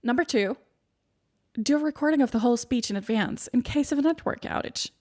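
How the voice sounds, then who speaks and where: A woman's voice: on the high side, fairly quick. A woman hosting her own podcast as a speaking coach, with a soothing voice, talking listeners through numbered tips in an encouraging tone. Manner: warm, encouraging, lists points by number. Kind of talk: solo podcast advice monologue. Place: home studio in the UK.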